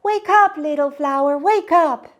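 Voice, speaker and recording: A woman voicing an excitable, impatient little girl in a children's storybook, with urgent, pleading delivery. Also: high voice, unhurried, voice pushed up. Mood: eager. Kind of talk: children's storybook read-aloud.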